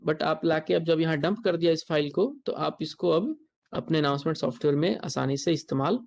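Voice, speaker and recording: An instructor with an Indian accent giving a software tutorial lecture, explaining step by step and repeating points. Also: medium pitch, fairly quick, creaky.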